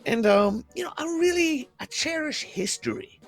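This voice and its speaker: A man's voice: very high voice, expressive, moderate pace, creaky, a bit bright, faint music behind, heavily acted. A man playing a bookish, fussy wasteland hermit in an improvised game roleplay, hesitant and folksy, rambling and repeating himself. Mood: grumbly, earnest.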